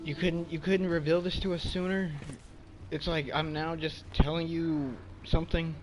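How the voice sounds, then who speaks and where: A man's voice: fairly high pitch, level tone, moderate pace, noisy background. A man giving casual, slangy play-along commentary on a game, teasing the characters as he goes. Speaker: gamer playing and reacting to an interactive movie game. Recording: loud music from the game behind. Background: game music and dialogue.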